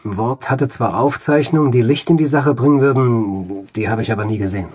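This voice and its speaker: An actor playing a sober man recounting an old investigation in an audio drama, his voice very husky. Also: mid-range voice, fairly quick, darkish tone, natural voice.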